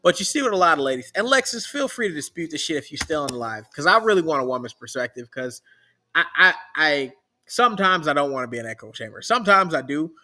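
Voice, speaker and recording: Animated bright-voiced man ranting. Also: fairly high pitch, fast. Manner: rambling, emphatic storytelling. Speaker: podcast co-host. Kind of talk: casual crude banter.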